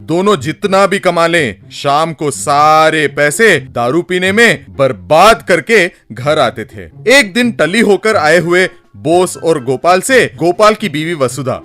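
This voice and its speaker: A man's voice: on the high side, lively intonation, takes it slowly, few pauses, fairly bright tone, soft background music. A man reading a scripted story as its narrator.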